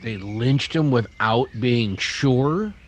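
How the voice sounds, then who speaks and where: A man acting a gentle townsman caught up with a posse in a radio western. His mid-pitched voice is hesitant, thoughtful and troubled. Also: expressive, slow. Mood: troubled, pensive.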